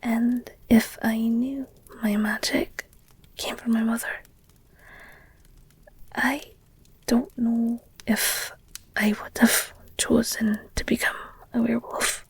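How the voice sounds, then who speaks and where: A woman playing an affectionate, candid werewolf girlfriend in an intimate ASMR audio roleplay, speaking tenderly and hesitantly in a breathy whisper. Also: a little high, level tone, no room sound, heavily acted. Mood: confiding, hesitant. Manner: slow, tender, hesitant, confiding. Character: affectionate werewolf girlfriend, gentle, candid, wistful about her past.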